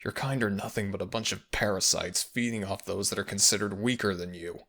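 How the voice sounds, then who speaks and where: A man voicing a possessive, protective male fox spirit in an intimate ASMR audio roleplay, brooding and gravelly, with old-fashioned formal speech. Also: on the low side, fairly even intonation, brisk, a bit bright. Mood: menacing, contemptuous. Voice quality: gravelly, breathy at times.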